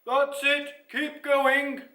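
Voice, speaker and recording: A man's voice: sits high, animated, measured pace, sharp tone, reverberant, voice pushed up, full character voice. A man voicing a pompous, nervous lion in a storybook, bossy and stammering, with old-fashioned turns of phrase. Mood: commanding.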